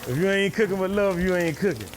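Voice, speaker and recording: A man's voice: high-pitched, expressive, fast talker. A middle-aged man, a chef talking casually and emphatically in a folksy way, often repeating his phrases. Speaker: chef and restaurant owner. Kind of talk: casual chat and demonstration. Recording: clear background kitchen noise.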